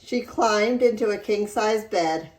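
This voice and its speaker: A woman reading a children's storybook aloud in an expressive, deliberate storytelling manner. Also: mid-range voice. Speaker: read-aloud narrator. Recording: roomy echo.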